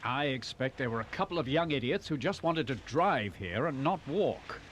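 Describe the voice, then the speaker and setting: A man's voice: mid-range voice, animated, moderate pace, lightly acted. A man playing a concerned, practical father in a children's audio dramatisation. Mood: dismissive, practical. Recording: noisy old cassette drama dub.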